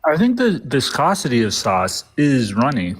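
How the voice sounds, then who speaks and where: A man's voice: medium pitch, huge pitch swings, normal speed, slightly rough. A man co-hosting a debate stream, playful and rambling, with goofy asides. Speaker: co-host. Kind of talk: casual banter.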